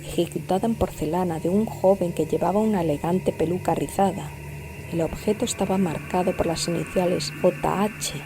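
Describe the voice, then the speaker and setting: A woman narrator giving a measured, scripted reading of a gothic horror story. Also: lowish voice, background noise. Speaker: audiobook narrator. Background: music.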